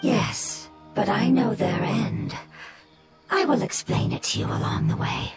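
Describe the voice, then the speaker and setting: Wistful ancient non-human survivor, lilting higher voice, formal and calm, loud game music. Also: female voice, a little slow.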